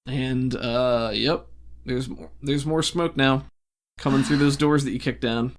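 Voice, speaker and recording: A game master narrating a tabletop game in an improvised, casual way, with halting asides. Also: medium pitch, steady tone, slight background noise.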